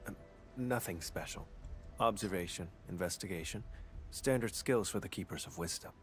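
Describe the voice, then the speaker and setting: An actor playing a cool, self-assured investigator in a video game, speaking in a terse, detached way. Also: male voice, low-pitched, normal speed, soft background music, natural voice.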